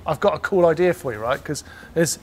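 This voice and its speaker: A man's voice: a little high, brisk. A British man hosting a factory tour, joking and teasing as he chats with a guide. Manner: jokey, teasing, laughs often. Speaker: host. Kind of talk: casual factory tour chat. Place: warehouse and outdoors at a factory.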